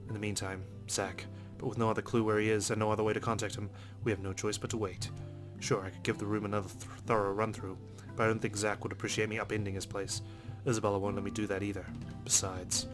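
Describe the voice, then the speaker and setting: A man reads visual novel narration aloud as a let's play host, dropping in casual asides. His voice is low and flat in pitch, and he moves fast, with guitar music clearly behind him.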